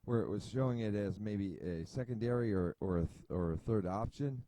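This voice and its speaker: A man talks through a chess position in a low, dark, mellow voice, musing aloud and trailing off in fillers. He sits close to a desk mic at his computer, and the sound is dry with no room around it. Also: brisk, no room sound. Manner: rambling, thinking aloud with many fillers and restarts. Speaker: chess video host. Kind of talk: casual chess analysis commentary.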